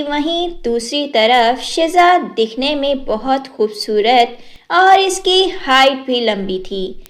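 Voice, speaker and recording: A woman narrating a moral storybook tale in a measured, even storytelling manner. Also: sits high.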